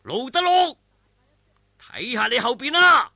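A man voicing a cunning, urgent young swordsman hero in a Cantonese martial arts story, shouting and goading with a Hong Kong accent. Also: very high-pitched, sing-song, slow pace, creaky, no room sound, studio quality, pitched above the performer's own voice, heavily acted. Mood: warning shout.

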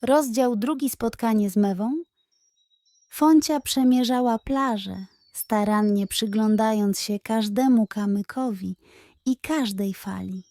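A woman storyteller narrating a scripted children's bedtime tale in an expressive, measured way. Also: on the high side, no echo.

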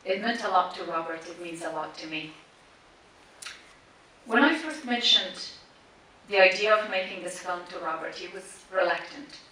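A woman whose first language is Persian speaks in a lowish, bright voice with measured, deliberate phrasing. She is heard from a distance in a cinema hall with a strong echo and a faint hiss. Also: expressive. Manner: measured, deliberate phrasing with listed words and pauses. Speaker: speaker introducing a tribute screening. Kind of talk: prepared memorial speech. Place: large cinema auditorium, standing on stage. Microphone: stand mic, recorded by a distant camera in the audience.

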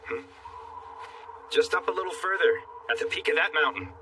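A man's voice: a little high, expressive, moderate pace, recorded from a distance, background noise, natural voice. A man playing a cocky, persuasive hero in an anime dub, talking in a casual, brash, explanatory way. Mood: calm, explaining. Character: cocky male human hero, persuasive and exasperated. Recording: dramatic music behind the voice.

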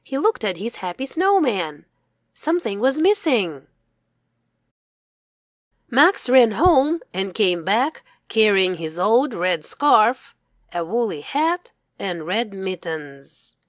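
A woman's voice: medium pitch, very animated, slow, no room sound, studio quality. A woman reading scripted children's storybook narration as its author, enunciating carefully for English learners.

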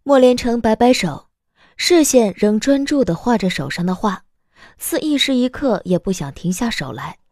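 Expressive, animated female narrator, soft tone. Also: fairly high pitch, moderate pace, no room sound, clean studio sound. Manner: expressive storytelling. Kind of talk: scripted audiobook drama narration. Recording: music bed behind the voice.

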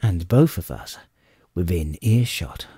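A man narrating an adventure audiobook in an expressive, measured storytelling manner. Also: deep voice, husky, no echo, clean studio sound. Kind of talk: dramatic scripted storybook narration.

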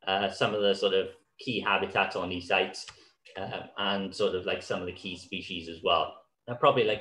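A man, giving an online conference talk over slides in a relaxed way with many fillers, his voice a little hoarse. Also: low-pitched. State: slightly hoarse. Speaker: conservation speaker. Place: small room at home. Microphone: video call. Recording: echoey room on a video call.

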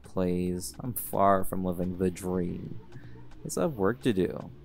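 Man playing a laid-back jaguar shifter, young adult, low gravelly voice, teasing, audio roleplay. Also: slow pace, heavily acted. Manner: easygoing, teasing, relaxed drawl with laughs. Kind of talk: audio roleplay, speaking to listener.

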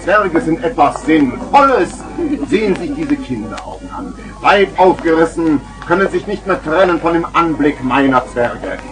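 Casting: male actor as loud market crier, high and very animated, wheedling theatrical patter, old children's radio play. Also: normal speed, music in the background, lightly acted, low-quality recording. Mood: coaxing. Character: boisterous male street hawker selling garden gnomes. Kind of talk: 1970s German children's radio play acting. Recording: vintage vinyl radio play recording.

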